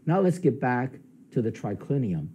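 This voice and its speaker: An older man preaching a sermon in a plain studio, explaining history in a measured, teaching way. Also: slight background noise. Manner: measured, explanatory preaching with lively pitch rises. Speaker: pastor. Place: studio with plain light backdrop, indoors.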